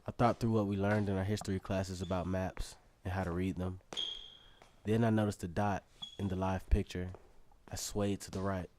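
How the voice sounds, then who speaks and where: A man plays an eager young male student telling his own story in a radio drama. The voice sits low and carries earnest wonder. There is clear background noise on the recording. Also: level tone, normal speed, darkish tone, lightly acted. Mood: curious, determined. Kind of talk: scripted first-person radio drama narration.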